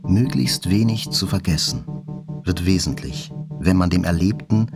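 An actor playing a writer's brooding inner voice in a grave, contemplative literary monologue. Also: male voice, low-pitched, unhurried. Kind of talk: literary monologue in audio drama. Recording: clear music behind the voice.

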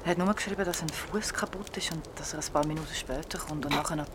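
A woman's voice: low-pitched, fast, close to an everyday voice. A woman playing a sympathetic young friend in colloquial radio drama dialogue. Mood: informing. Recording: noisy ambient scene.

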